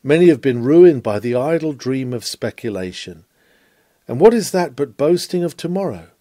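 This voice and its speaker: A man reading a written sermon in an oratorical style, with dramatic emphasis and measured phrasing. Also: medium pitch, expressive, dark tone, dry recording, studio quality. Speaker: sermon reader.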